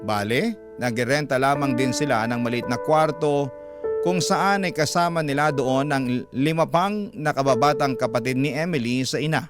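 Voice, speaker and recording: A man's voice: mid-range voice, moderate pace. A man reading a first-person love-life story in an earnest, confiding way, like a classic radio program. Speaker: narrator. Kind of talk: dramatic first-person letter narration. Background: loud music bed.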